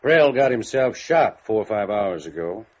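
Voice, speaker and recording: A shrewd country police chief in an old radio drama. He is husky and folksy, laconic in wording but animated in pitch. The vintage recording sounds muffled. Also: moderate pace. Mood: dry, cagey. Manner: laconic, folksy drawling idiom. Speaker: radio drama supporting actor. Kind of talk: 1950s radio drama acting.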